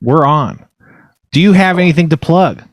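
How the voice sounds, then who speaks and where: A man's voice: on the high side, lively intonation, normal speed, no room sound, studio quality. A man hosting a casual podcast chat, teasing his guests and laughing often. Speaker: podcast host.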